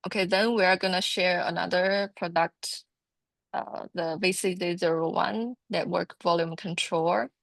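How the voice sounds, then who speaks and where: A woman giving an informal product training presentation, explaining haltingly and often repeating her words. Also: lowish voice, steady tone, creaky, no room sound.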